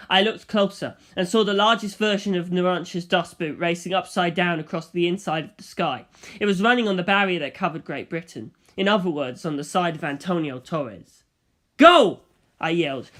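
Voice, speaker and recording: A man narrating a first-person story as a scripted audiobook, reading in a dramatic, expressive way. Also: high-pitched, brisk.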